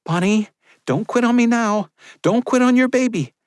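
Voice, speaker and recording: A high, forceful woman at a bedside birth, barking orders and pleading for her patient to keep going, as a deep-voiced male narrator puts her on. Her pitch leaps well above his own and stays strained. Close, dry storybook reading. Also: normal speed, smooth, no echo. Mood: urgent. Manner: urgent, pleading, commanding. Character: woman delivering a baby, urgent and commanding.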